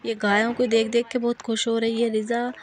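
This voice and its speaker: A woman's voice: on the high side, completely flat delivery, brisk. A woman, narrating a family vlog in a casual way. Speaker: vlogger.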